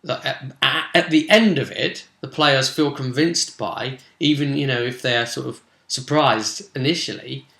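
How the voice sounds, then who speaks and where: A man's voice: medium pitch, normal speed, creaky, few pauses, pushed and bright. A man giving a casual, rambling and enthusiastic recap as a tabletop game master. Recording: roomy echo.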